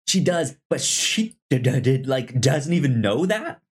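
Halting man with a stutter, chatting. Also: medium pitch, very animated, husky. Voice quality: stuttering, repeats sounds and syllables. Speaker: podcast co-host. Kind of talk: casual film discussion chat. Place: recorded in person with co-host.

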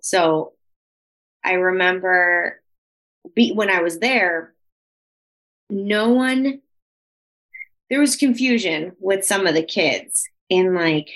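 A woman from the United States co-hosting a podcast, telling stories lively and mixing in Spanish words. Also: takes it slowly, pauses often, rough recording. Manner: lively storyteller, slips into Spanish, pitch leaps when quoting. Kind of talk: casual chat.

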